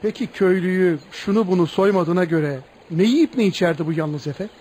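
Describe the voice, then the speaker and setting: An actor in a radio play voicing a curious, approving gentleman who prompts a storyteller with short questions and exclamations. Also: sits high, fairly quick, natural voice. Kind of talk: radio theatre acting. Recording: noisy radio drama recording.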